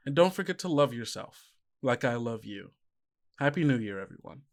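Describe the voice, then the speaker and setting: A man's voice: mid-range voice, expressive, normal speed. A man hosting a podcast as game master, chatting casually in a playful, self-deprecating, rambling way.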